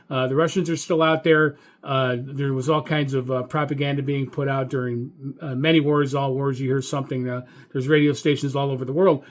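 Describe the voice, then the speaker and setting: An American man who hosts a hobby radio channel, giving a casual, enthusiastic update in a halting, folksy way and repeating words for emphasis. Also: fairly high pitch. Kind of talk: casual video update monologue.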